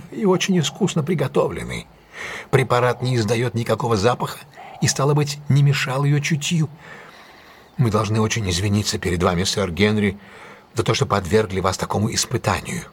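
An actor playing a self-assured gentleman detective in an audio drama, decisive, courteous and authoritative. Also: on the low side, animated, normal speed, a little hiss or hum. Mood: composed, apologetic. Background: music.

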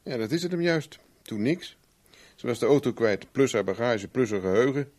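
A man acting a private investigator in a seventies radio drama. He is stubborn and wry, speaking briskly in a middling male pitch with an ironic edge. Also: lively intonation, a little mellow, close to an everyday voice. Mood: pensive. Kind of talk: 1970s Dutch radio play acting.

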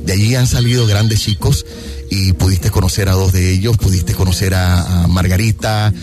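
A man's voice: low voice, steady tone, moderate pace. A man hosting a radio interview, chatty and affirming, asking long winding questions. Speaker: radio host. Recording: radio broadcast with music behind.

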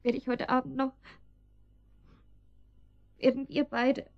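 A woman's voice: high-pitched, little pitch movement, takes it slowly, gravelly, dry recording, muffled recording, exaggerated voice. A woman playing an anxious captive wife in a German radio drama, pleading hesitantly with her guards. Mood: desperate pleading. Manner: hesitant, pleading, breaks off sentences.

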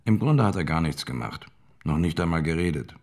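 An actor playing a strict, plain-spoken hotel owner giving a measured witness account in a radio drama. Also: male voice, extremely low pitch, animated, darkish tone. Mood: matter-of-fact. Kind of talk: acted witness statement in a radio documentary drama.

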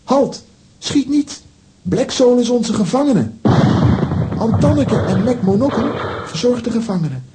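A man's voice: extremely high pitch, animated, unhurried, long pauses, mellow, slight background noise, low-quality recording. A man playing a brave, earnest hero in a children's audio drama, speaking in a declamatory, urgent way.

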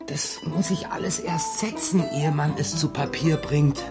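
Sleepy jokey dad in a kids radio play, talks really slow, music under it. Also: male voice, a little high, steady tone. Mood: wry, musing. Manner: drowsy, bantering, hesitant. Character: whimsical, sleepy joking father of a schoolgirl. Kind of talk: German children's radio play acting.

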